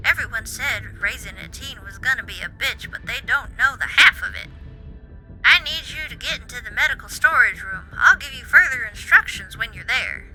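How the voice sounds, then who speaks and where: A woman voicing a brash, exasperated mother and doctor in a game, loud and commanding. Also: on the high side, animated, moderate pace, exaggerated voice, low-quality recording. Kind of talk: amateur game character voice. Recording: music behind the voice.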